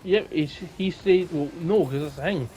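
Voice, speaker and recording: A man's voice: on the high side, normal speed, mellow, rough recording. A man co-hosting a podcast in casual, informal conversation. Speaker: podcast co-host.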